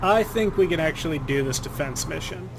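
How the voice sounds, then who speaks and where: A young man, slightly hoarse, giving loose casual commentary over his gameplay, with some swearing. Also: a little high, animated, brisk, background noise. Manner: loose, profane trash talk at enemies. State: slightly hoarse, itchy throat. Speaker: gaming channel host.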